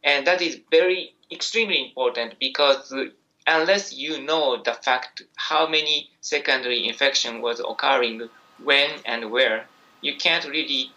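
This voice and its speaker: Japanese-accented man with a bright, muffled voice. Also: mid-range voice. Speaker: infectious disease doctor. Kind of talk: press conference answers.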